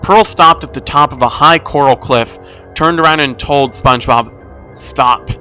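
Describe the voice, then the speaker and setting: A man's voice: on the high side, moderate pace, bright, forceful tone, soft background music. A man reading a scripted first-person horror story as its narrator.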